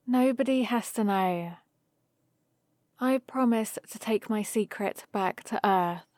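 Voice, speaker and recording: A woman plays a young adventuring heroine in an ASMR roleplay. She speaks slowly and intimately, close and dry on the mic, with a fond, playful, teasing manner toward her companion. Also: mid-range voice, darkish tone, no room sound, natural voice. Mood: playful, confiding. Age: young adult. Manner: teasing, unhurried, intimate one-sided conversation. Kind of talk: ASMR roleplay acting, addressed to the listener. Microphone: close studio-style microphone, dry.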